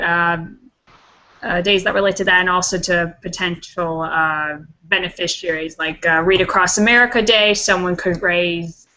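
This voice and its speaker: Woman intern on a video call, low-ish bright voice, casual with fillers. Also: poor audio. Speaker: intern and volunteer. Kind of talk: casual online meeting chat.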